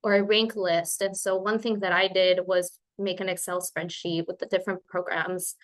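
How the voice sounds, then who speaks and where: Woman in her 20s from spain, kinda low voice, phd student walking thru slides on a webinar. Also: level tone, normal speed. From born in Colombia, grew up in Spain. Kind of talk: informal slide presentation. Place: remote webinar.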